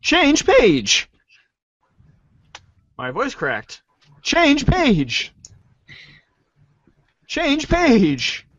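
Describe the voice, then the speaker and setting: A woman's voice: sits high, wildly swooping pitch, extremely slow, long pauses, dry recording. A woman cast member in a casual amateur read-along, calling out short cues. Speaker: show cast member calling page turns. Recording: muffled lo-fi audio.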